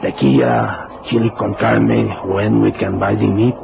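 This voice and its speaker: An actor playing a world-weary, passionate Mexican-born government agent in a radio drama, with a gravelly Mexican accent and short emphatic phrases. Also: male voice, lowish voice, fairly even intonation, a little slow. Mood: wistful, bitter. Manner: ruminative, speaks in short emphatic phrases with questioning tags. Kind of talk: 1960s-70s radio drama acting. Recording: noisy, dull old radio recording.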